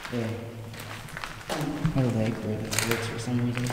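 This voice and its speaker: A young man vlogging while exploring an abandoned brewery at night, excitable, sometimes hushed and swearing, on a handheld camera mic. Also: medium pitch. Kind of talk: casual exploring vlog. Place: dark abandoned brewery indoors. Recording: echoey rooms with loud noise.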